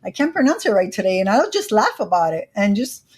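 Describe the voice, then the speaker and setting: A woman's voice: mid-range voice, very animated, brisk, darkish tone, husky. Bilingual woman with a Miami accent. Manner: earnest, frequent "you know" fillers. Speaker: interview guest, credit educator. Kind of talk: casual interview conversation.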